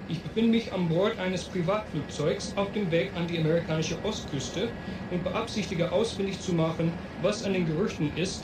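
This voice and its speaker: An actor playing a shaken, grave radio reporter describing things tersely from a plane in a German radio drama. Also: male voice, on the high side, natural voice. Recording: echoey, distant, noisy aircraft cabin transmission.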